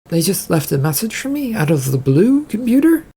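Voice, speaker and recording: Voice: medium pitch, very animated, normal speed, dark tone, husky, voice pushed up. A narrator voicing a wary, skeptical woman on a ship's crew, guarded and questioning. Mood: suspicious.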